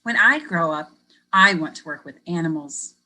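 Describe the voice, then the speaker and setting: A woman educator reading a picture book aloud to children, pausing to ask them questions in a gentle, expressive way. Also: on the low side, rough recording. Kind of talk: children's read-aloud with teacher commentary.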